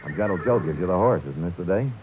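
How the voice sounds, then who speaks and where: An actor playing a wry, hard-boiled private detective who narrates in a dry, clipped, sardonic way, in a vintage radio drama. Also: male voice, sits low, animated, fast talker, a little hiss or hum. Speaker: narrator and lead. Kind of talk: 1940s radio acting. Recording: dull lo-fi old radio broadcast with music.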